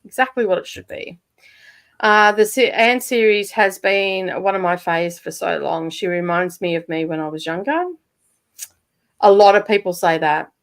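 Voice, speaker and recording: A woman's voice: mid-range voice, steady tone, normal speed. Chatty Michigan woman hosting a livestream. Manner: chatty, laughs often, many fillers. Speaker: livestream host. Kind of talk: casual chat with viewers.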